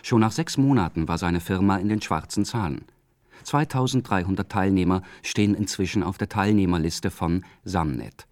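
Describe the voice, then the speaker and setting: A man reading a scripted radio documentary in a calm, measured way. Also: deep voice, no room sound, clean studio sound. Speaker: radio feature narrator.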